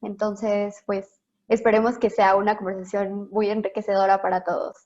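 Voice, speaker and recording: A woman's voice: medium pitch, little pitch movement, normal speed, darkish tone, dull audio. A woman co-hosting an online interview, polite and a little hesitant, with filler words. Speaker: co-host, interviewer.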